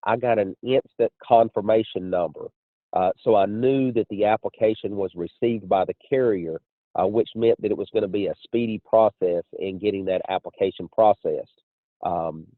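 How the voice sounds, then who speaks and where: A man giving a measured, explanatory testimonial as an insurance agent on a conference call. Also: on the low side. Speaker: insurance agent and training director. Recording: muffled phone line with room echo.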